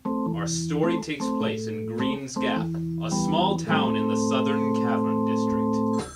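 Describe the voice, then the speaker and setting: A man's voice: mid-range voice, lively intonation, takes it slowly, over loud music. A man delivering a scripted announcement as the host of a radio mystery.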